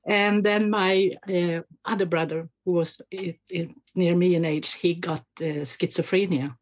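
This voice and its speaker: A woman's voice: fairly low pitch, steady tone, a little slow. A Swedish woman in her fifties with a Swedish accent, a doctor guest speaking reflectively and hesitantly. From Sweden. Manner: hesitant, many fillers and restarts, reflective. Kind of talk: interview conversation.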